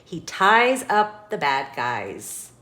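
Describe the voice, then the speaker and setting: A woman reading a children's picture book aloud as a storytime host, expressive and dramatic, putting questions to young listeners. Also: low voice, extremely slow.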